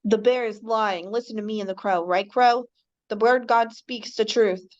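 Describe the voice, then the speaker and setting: A woman's voice: a little high, fairly even intonation, moderate pace, dull audio, lightly acted. A woman voicing a clever, scheming male baboon with a dry, sardonic delivery, in an amateur radio drama table read.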